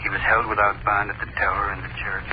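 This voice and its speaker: An actor playing a formal announcer reading out a court notice in the scripted manner of old radio drama. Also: male voice, lowish voice, flat delivery, quick pace, natural voice. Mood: formal, impersonal. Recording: noisy, dull old radio recording.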